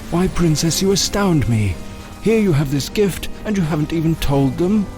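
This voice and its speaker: A man playing a theatrical, taunting villain in an audio drama, with a mocking, drawn-out, mock-courteous manner. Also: on the high side, animated, natural voice. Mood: mocking, smug. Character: taunting, theatrical male villain toying with a princess. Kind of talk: fan audio drama acting. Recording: heavily echoing, loud music underneath. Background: music.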